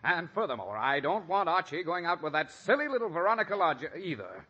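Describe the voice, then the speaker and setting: A man's voice: a little high, big pitch swings, fairly quick, breathy edge. An actor playing a grouchy, stubborn, blustering middle-aged father in an old-time radio comedy. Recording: dull vintage radio recording.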